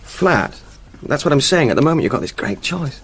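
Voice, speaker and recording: A man's voice: a little high, big pitch swings, brisk, a bit bright, slightly rough, full character voice. An actor playing a good-humoured young English man, a grown son who gets defensive when teased, in a radio drama. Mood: keen, casual. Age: young adult. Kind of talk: radio drama acting, naturalistic family chat.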